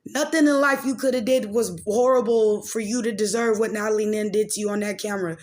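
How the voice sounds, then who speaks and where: A woman's voice: medium pitch, steady tone, brisk, darkish tone. A woman in her late twenties hosting a casual gossip and life-update podcast, rambling along in loose slang peppered with filler words. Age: late 20s. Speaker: podcast host and commentator. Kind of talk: casual gossip commentary and personal chat.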